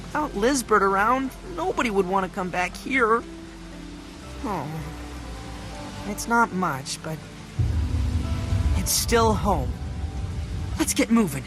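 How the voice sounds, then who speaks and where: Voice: mid-range voice, animated, slow pace, pauses often. An actor voicing a timid, earnest, bumbling small male creature in a video game, with an American accent and a hesitant, nervous way of talking. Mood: dejected. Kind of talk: cartoonish video game character acting. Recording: loud game music behind. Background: game music.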